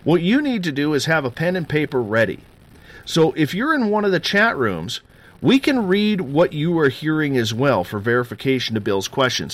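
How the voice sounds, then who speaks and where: A man in his forties hosts a late-night radio show. He moves between quick, upbeat plugs and a lower, slower tone for serious points. His voice sits at an ordinary middle pitch. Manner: energetic promo patter, drops low and slow for serious parts. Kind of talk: live radio show intro and chat.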